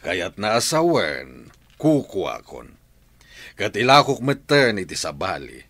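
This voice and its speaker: A man's voice: on the low side, big pitch swings, slow pace, gravelly, a bit bright, no echo, full character voice. An actor playing a domineering, demanding older father and moneylender in a radio drama, with a Filipino accent. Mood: stern, menacing.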